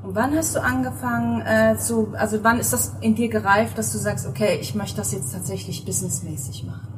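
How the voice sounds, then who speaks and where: A woman's voice: on the high side, steady tone, a little fast, distant mic, slight background noise, poor audio. A middle-aged woman hosting a podcast interview, talking casually with many fillers and restarts, on an outdoor terrace. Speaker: podcast host. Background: passing people and cars, music in the intro.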